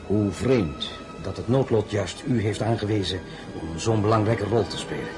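A suave, shrewd male courtier played by an actor. He speaks in a measured, insinuating way, with polished courtly manners, as in a period radio drama. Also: fairly low pitch, over music, lightly acted. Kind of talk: radio play acting.

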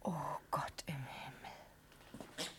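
An actress playing an ardent, pleading young noblewoman in a classical verse radio drama, fervent and declamatory, often dropping into a breathy whisper. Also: very slow. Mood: hushed, tender.

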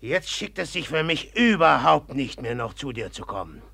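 A man's voice: medium pitch, sing-song, moderate pace, creaky, bright, forceful tone, husky, no echo, heavily acted. A sullen, jealous young man. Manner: blunt, sullen, mocking. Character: brooding, jealous young man, blunt and hostile. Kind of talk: 1950s radio drama acting.

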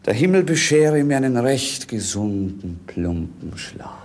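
An actor playing a young, world-weary romantic prince in lyrical, theatrical 1950s radio-play style. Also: male voice, mid-range voice, very animated, a little slow, a little mellow. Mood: petulant. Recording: noisy 1950s radio recording.

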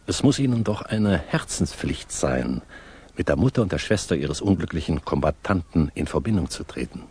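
An actor playing a courteous, reserved middle-aged Englishman in a radio drama, formal and measured, with a husky voice. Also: rumbling low, lively intonation, a little mellow, exaggerated voice. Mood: polite, careful. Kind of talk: radio drama acting with reflective narration.

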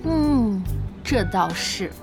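A woman playing a curious, sharp-witted boss among gamers in a Mandarin audio drama. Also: medium pitch, sing-song, extremely slow, full character voice. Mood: curious, catching on. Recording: music bed under the dialogue.

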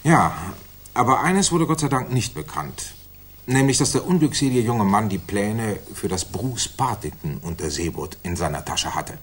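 Mycroft Holmes, a low-voiced, pompous senior official. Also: male voice, big pitch swings, fairly bright tone, husky, full character voice. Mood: grave, confidential. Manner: deliberate, formal, pompous. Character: Mycroft Holmes, weighty, authoritative, self-satisfied senior government official. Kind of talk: 1960s German radio drama acting.

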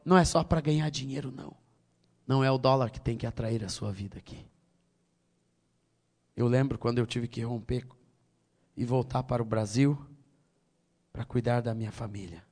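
A middle-aged Brazilian man with a Brazilian Portuguese accent preaching a sermon, swinging from loud high exhortation to quiet low tones. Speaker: pastor.

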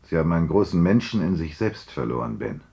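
An actor playing a shrewd, dogged police investigator with a probing, deliberate manner in a radio drama. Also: male voice, sits low, big pitch swings, vocal fry, warm tone, husky, muffled recording, full character voice. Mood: grave, earnest. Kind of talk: 1970s German radio drama acting.

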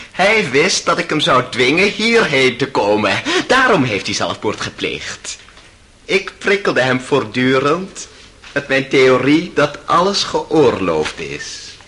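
An actor playing a feverish, half-mad young intellectual on the witness stand, sardonic and erratic, in a radio play. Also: male voice, mid-range voice, sharp tone, exaggerated voice. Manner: sardonic, erratic, theatrical, with bitter laughter. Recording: noisy old radio play recording.

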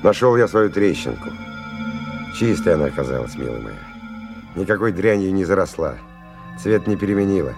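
An actor playing a gruff, wary stalker who gives terse orders and tells his story in a 1990 radio play. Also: sits low, animated, moderate pace, long pauses, over music. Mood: reflective, narrating. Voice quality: gravelly, husky. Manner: terse, colloquial, commanding. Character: gruff, wary, bossy male stalker leading a dangerous expedition. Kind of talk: 1990 radio drama acting with first-person narration.

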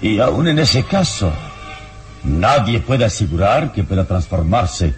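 Calm noble hero, deep voice, solemn formal declamation, radio drama, light music behind. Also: male voice, a little slow. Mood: solemn, resolute. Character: calm, principled male hero, resolute and dignified.

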